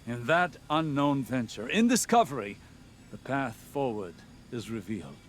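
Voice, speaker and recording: An actor playing an earnest, scholarly older male warlock in a video game, speaking in a deliberate, philosophical way. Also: medium pitch, animated, slight background noise, close to an everyday voice. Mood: earnest, reflective. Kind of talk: dramatic video game dialogue.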